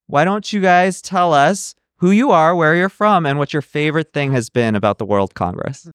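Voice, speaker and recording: A man's voice: on the high side, normal speed, a bit bright, clear, resonant tone, dry recording, clean studio sound. A man hosting a podcast with casual on-the-spot interviews, in an upbeat, appreciative manner. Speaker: podcast host.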